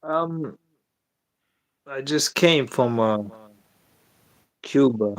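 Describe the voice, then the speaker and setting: A man's voice: mid-range voice, extremely slow, no echo. A man talking casually as a travel vlogger guest on a video call, hesitant and full of ums.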